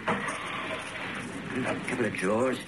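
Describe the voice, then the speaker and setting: A man's voice: mid-range voice, very slow. An actor playing an uneasy, obedient criminal henchman in a radio drama, speaking in short clipped phrases. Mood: rattled, furtive. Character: rattled, obedient criminal henchman reporting to his boss. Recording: noisy old radio drama recording.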